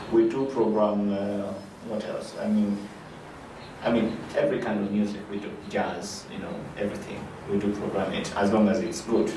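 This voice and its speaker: Hesitant low-voiced man in an echoey room. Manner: hesitant, many fillers and restarts. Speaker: director of an arts foundation. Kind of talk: interview answers. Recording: echoey room, mic far off, noisy.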